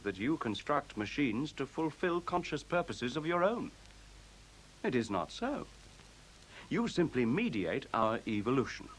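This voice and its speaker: A coolly superior newborn computer mind, voiced by a man in a vintage science fiction radio play. It speaks formally and precisely, with a widely varied melody at a middling male pitch, over a faint hiss. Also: natural voice, poor audio. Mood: calmly reasoning. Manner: measured, formal, articulate, pedantic.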